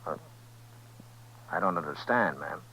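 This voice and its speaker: A man playing a steady, courteous, laconic lawman in a 1950s radio Western. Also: rumbling low, measured pace, husky, full character voice. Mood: probing. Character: steady, courteous, laconic US Marshal in the Old West. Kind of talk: 1950s radio Western acting and first-person narration. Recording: muffled 1950s radio recording.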